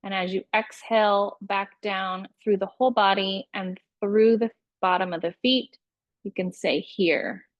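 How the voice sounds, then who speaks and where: Female meditation guide, very slow and soothing, mid-range pitch, close dry recording. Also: fairly even intonation, no room sound, dull audio. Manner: unhurried, soothing instructional delivery with pauses. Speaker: yoga nidra meditation teacher.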